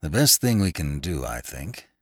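A cool senior barrister voiced by a male narrator, formal and authoritative. He questions in a measured, probing way. The sound is close and dry. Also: very deep voice, sing-song, a bit bright, no room sound, heavily acted.

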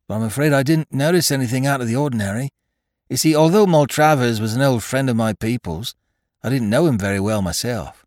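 A man's voice: medium pitch, brisk, darkish tone, no echo, studio quality, voice pushed up, natural voice. A man voicing an affable, well-bred young army captain in an audiobook, with a clipped and casual manner. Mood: helpful, brisk. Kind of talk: audiobook character voice.

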